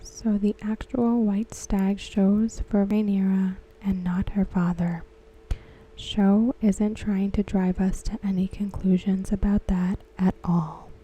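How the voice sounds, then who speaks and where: A woman whispering softly and unhurriedly in an ASMR style, talking about a TV episode. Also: medium pitch, flat delivery, slow, husky, rough recording. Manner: soft unvoiced whisper throughout. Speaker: ASMR creator discussing a TV episode.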